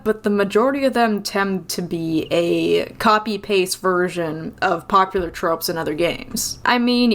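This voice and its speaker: A woman who has just woken up, giving a casual, conversational and opinionated rant as a video game commentator. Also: mid-range voice. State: just woke up.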